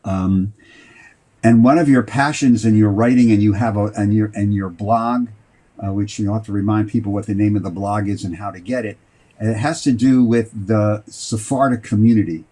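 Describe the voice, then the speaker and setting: A man hosting an interview in a conversational, somewhat halting and roundabout way. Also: on the low side, warm tone. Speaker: talk show host, interviewer.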